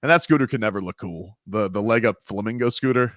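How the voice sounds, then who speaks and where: A man's voice: lowish voice, fast, fairly bright tone, no echo, clean studio sound. A man hosting sports talk radio, rambling casually with lots of fillers and laughs. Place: radio studio. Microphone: studio mic.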